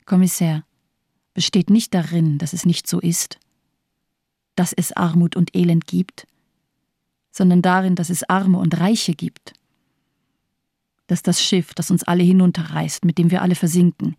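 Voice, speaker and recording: Radio drama: jaded female physician, sardonic and eloquent, subdued dark timbre, very dry close sound. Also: on the low side, dry recording. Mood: bitter, sardonic. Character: bitter, cynical morphine-addicted female hospital doctor.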